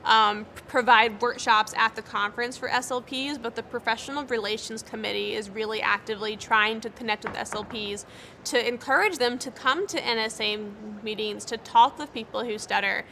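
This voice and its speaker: Young woman, stutters with repeats and blocks, earnest and encouraging, bright and high-pitched. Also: fairly even intonation, moderate pace, gravelly, slight background noise. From United States. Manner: stutters, with repeated words and broken-off sounds. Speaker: interviewee, speech-language pathologist. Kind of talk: interview answers.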